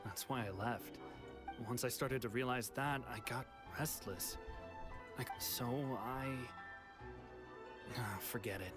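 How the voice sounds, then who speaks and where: A man's voice: mid-range voice, takes it slowly, long pauses, music in the background, natural voice. An actor playing a restless, apologetic man on the run in a video game, hesitant and trailing off. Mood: resigned.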